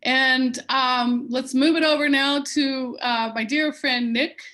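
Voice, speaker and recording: A woman's voice: high voice, fairly even intonation, slow pace, bright, forceful tone. A woman who grew up in Peru, hosting and introducing a live-streamed panel over a video call, chatty and upbeat with frequent ums. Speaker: festival host.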